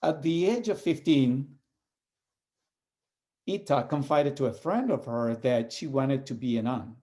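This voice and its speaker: A man from El Salvador, giving an earnest, measured reflection and reading aloud with pauses. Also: mid-range voice, lively intonation, mellow. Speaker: community organizer sharing a reflection.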